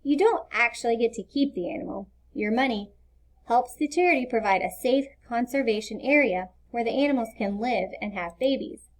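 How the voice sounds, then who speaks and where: A woman's voice: a little high, lively intonation, moderate pace. A woman narrating a children's storybook as a scripted read-aloud. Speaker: storybook reader.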